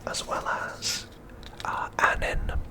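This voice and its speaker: Slowly whispering man, ASMR narrator. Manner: soft, unhurried, deliberate phrasing with pauses. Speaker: host of an ASMR channel.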